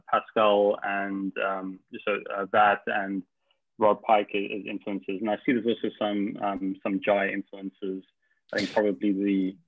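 A man asks halting questions, full of ums and repeated words. His voice is low and gravelly. It comes through thin and tinny, like a phone line. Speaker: meetup attendee asking questions. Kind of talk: hesitant questioning. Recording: tinny phone-like call audio.

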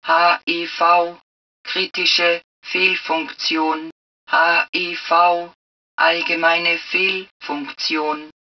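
A dull, slightly distant game recording carries the calm computer voice of a combat suit. She speaks very slowly in measured, clipped phrases. Every announcement is impersonal and matter-of-fact. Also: lowish voice. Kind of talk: scripted system announcements in a video game.

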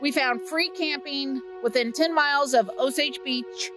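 A woman's voice: sits high, a little slow, music in the background. A woman in her fifties from Missouri, chatting earnestly to her phone camera as she walks through winter woods. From Missouri, USA. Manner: chatty, earnest storytelling while hiking. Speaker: vlog host. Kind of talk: casual walking vlog. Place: outdoors in winter woods by a creek. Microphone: phone held at arm's length.

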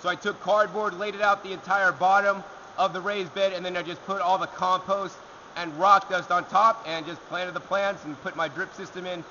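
A young man hosting a gardening show, answering viewer questions to camera outdoors in a rock alcove, energetic and gesturing as he talks. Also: high voice, normal speed, highs cut off, slight background noise. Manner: energetic, talks with his hands.

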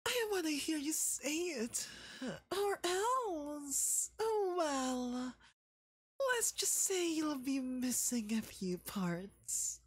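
A woman's voice: high voice, lively intonation, drawn-out words, no room sound, full character voice. A woman voicing a deranged, lovesick young male werewolf captor in a theatrical ASMR roleplay, swinging between sobs and menace. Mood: menacing, gloating. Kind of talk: ASMR roleplay, dramatic monologue.

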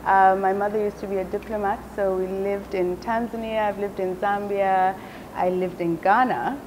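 A woman's voice: medium pitch, steady tone, moderate pace, a little mellow, lo-fi sound. A young woman co-hosting a casual talk show, upbeat and laughing often on a handheld mic. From Africa. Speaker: co-host. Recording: noisy room with hum.

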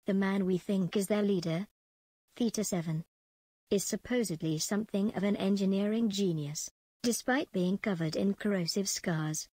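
A woman's voice: medium pitch, mellow, breathy edge, dry recording. An actress playing a ruthless female prison commandant in a space empire, dictating clipped official log entries for a video game.

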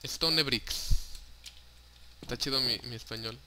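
A man's voice: medium pitch, slow pace, creaky, very noisy. A young man with a Mexican Spanish accent, chatting casually and joking as he narrates his own Minecraft play, swearing freely and dropping in English words. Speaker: gaming YouTuber.

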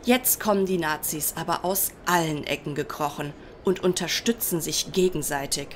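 A woman's voice: low voice, normal speed, slight background noise, lightly acted. A woman playing a combative, self-righteous female traveller who is easily outraged, in a parody radio play. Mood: outraged, defiant.

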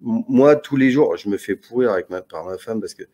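A man's voice: on the low side, animated, a little fast, dark tone. Hesitant man on a video call. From Paris region, France. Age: 40s. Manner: hesitant, many fillers and restarts, joking. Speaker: YouTube channel guest. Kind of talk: casual live video chat. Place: indoor room with arcade cabinet.

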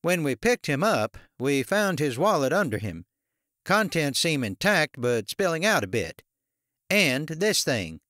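Man reading as seasoned male medical examiner, late fifties, brisk, wry, higher-set voice, storybook dialogue. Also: very animated, fairly bright tone, no room sound, clean studio sound. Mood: helpful. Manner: brisk, matter-of-fact, wry. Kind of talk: audiobook character dialogue.

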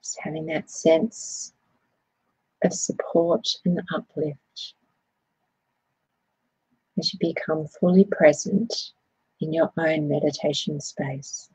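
A woman with a low, very breathy and husky voice guides a body scan meditation. She speaks slowly and soothingly, in gentle phrases. The tone is soft and mellow. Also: fairly even intonation, no room sound, rough recording.

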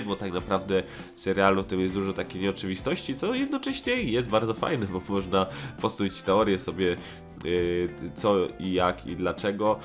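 A man giving a casual, rambling review, hesitant and slangy, often correcting himself. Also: sits low. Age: 20s. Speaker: host of a nostalgic cartoon review show. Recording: music playing behind the voice.

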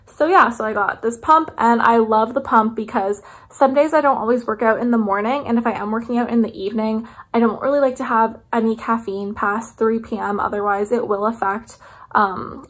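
A woman, chatting casually and enthusiastically to camera like a vlogger. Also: a little high. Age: 20s. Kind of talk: casual haul chat to camera. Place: small living room indoors.

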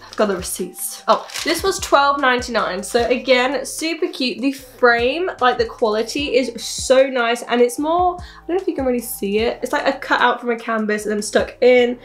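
A woman's voice: a little high, expressive, normal speed, hardly any pauses, faint music behind. A young woman, chatting casually and rambling through a shopping haul from her living room sofa. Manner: bubbly, rambling, self-deprecating. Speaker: vlogger.

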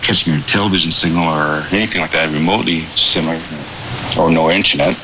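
A man's voice: a bass voice, fairly even intonation, fast talker. A Canadian man casually reminiscing, his voice carried over shortwave radio. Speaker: interviewee, former military man. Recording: muffled, noisy shortwave radio broadcast.

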